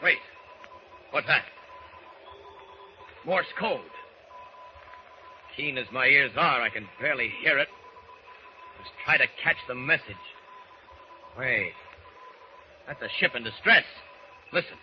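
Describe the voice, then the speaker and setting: An actor playing a confident, alert superhero who thinks aloud resolutely in an old-time radio drama. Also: male voice, mid-range voice, animated, slow, long pauses. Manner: resolute, clipped self-narration. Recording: muffled old radio recording, faint noise.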